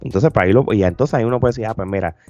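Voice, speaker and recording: Guy on a reaction podcast, soft mellow tone, dry close mic. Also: on the low side, very fast, dry recording, faint music behind. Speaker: podcast host. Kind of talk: casual reaction podcast chat. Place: indoor room, remote video call. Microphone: close desk podcast microphone with headphones.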